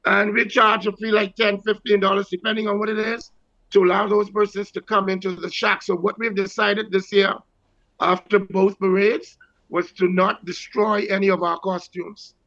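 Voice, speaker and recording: A man's voice: high voice, little pitch movement, moderate pace, creaky, sharp tone, highs cut off. A Bahamian man speaking as an interview guest, explaining things politely in a conversational way. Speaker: guest, leader of a Junkanoo group.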